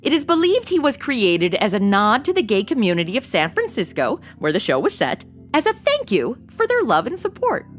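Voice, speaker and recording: A woman's voice: on the high side, wildly swooping pitch, moderate pace, few pauses, soft background music. A woman reading scripted, informative narration as the narrator of an audio show.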